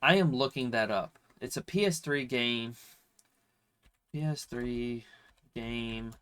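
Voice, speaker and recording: A man's voice: mid-range voice, takes it slowly, a bit bright, clear, resonant tone. Nasal man with a slight cold. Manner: rambling, filler-heavy, swears freely. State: slight cold, stuffy nose. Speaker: streamer answering viewer questions. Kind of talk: casual chat.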